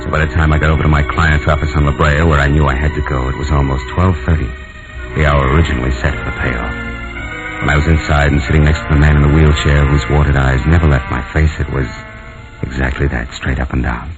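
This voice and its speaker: A world-weary American private eye, speaks in a very low register with terse, deadpan toughness. It is old radio crime drama, muffled in sound, with prominent music. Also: male voice, fast talker. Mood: weary, brooding. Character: hard-boiled male private detective, wry and world-weary. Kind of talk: 1940s radio detective drama, first-person narration and dialogue.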